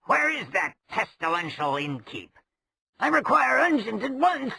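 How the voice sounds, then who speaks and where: A man voicing a pop-eyed, cowardly male rogue who croaks and yelps in frantic, shrill bursts. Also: sing-song, a little slow, pushed and bright, full character voice. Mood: aggrieved.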